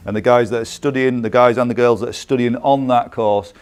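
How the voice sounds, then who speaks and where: A man with a smooth, clear voice presenting a promotional music video series in a conversational way. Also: medium pitch, fairly even intonation, a little fast.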